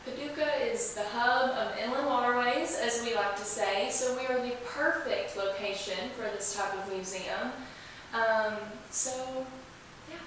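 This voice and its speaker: A woman's voice: fairly high pitch, measured pace, fairly bright tone. A woman giving conversational, informal answers as an enthusiastic interview guest. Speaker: interview guest, museum staffer. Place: indoors, echoey room. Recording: echoey room, distant mic, hiss.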